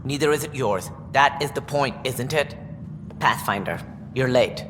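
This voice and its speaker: An actor playing a stern, self-important alien politician in a video game, formal and argumentative. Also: male voice, mid-range voice, a little slow, natural voice. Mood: pompous. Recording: noisy, echoing hall, ambient sound.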